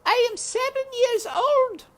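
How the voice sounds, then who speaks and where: Storybook casting: small peasant girl, very high pitch, earnest, naive and hopeful, wind behind. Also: takes it slowly. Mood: excited. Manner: earnest, uneducated childlike speech. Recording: windy outdoor ambience noise.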